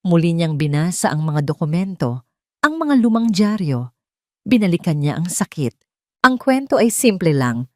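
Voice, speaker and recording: A woman storyteller delivers scripted dramatic narration for a romance audio story. Her voice sits a little low for a woman. The recording is dry, with no room around it. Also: big pitch swings, moderate pace, dark tone, dry recording.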